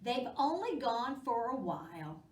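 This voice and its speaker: A woman voicing a patient, gentle mother squirrel in a storybook, measured and reassuring. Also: fairly high pitch, big pitch swings, reverberant, far from the mic.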